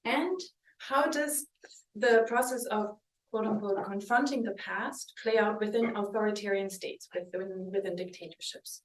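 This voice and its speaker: A woman from Germany giving an academic book talk as a historian, speaking thoughtfully with pauses and restarts. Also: medium pitch. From West Germany. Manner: thoughtful, hesitant, with restarts and ums. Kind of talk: academic lecture with slides. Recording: roomy, mic at a distance.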